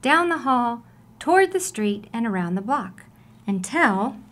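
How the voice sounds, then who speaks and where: Lady reading a kids book out loud, really swoopy expressive voice, takes it slow. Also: on the high side, noisy background. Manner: animated, deliberate storytelling for young children. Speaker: storybook reader. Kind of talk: expressive children's read-aloud.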